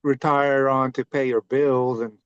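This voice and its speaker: A man asks quick, checking questions on a video call, stumbling and restarting as he goes. His voice sits mid-range. The audio is dry and a little muffled. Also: medium pitch, little pitch movement, smooth, dry recording. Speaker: member of an accountability group. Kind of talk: casual video call chat.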